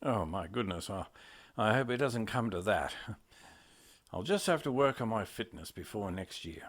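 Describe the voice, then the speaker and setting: Man playing low-voiced ageing veteran uncle. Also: animated, normal speed. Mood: modest. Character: ageing war veteran uncle, gentle, modest, good-natured. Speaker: radio play actor. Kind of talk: amateur radio play dialogue.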